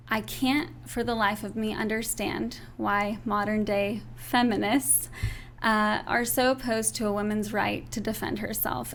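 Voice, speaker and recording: A woman's voice: medium pitch, vocal fry, fairly bright tone, a little hiss or hum. A young woman, raised in Turkey, giving an interview and laying out her views point by point. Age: young adult. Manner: earnest, lays out points in order. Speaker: interview guest. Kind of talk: earnest political interview.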